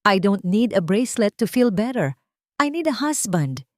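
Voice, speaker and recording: A woman's voice: mid-range voice, big pitch swings, moderate pace, no echo, studio quality, pitched above the performer's own voice, natural voice. A woman voicing a wounded, proud wife who speaks coldly and firmly in an audio story. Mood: cold, hurt. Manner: cold, firm, reproachful. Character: wounded young wife, cold, proud and resolute.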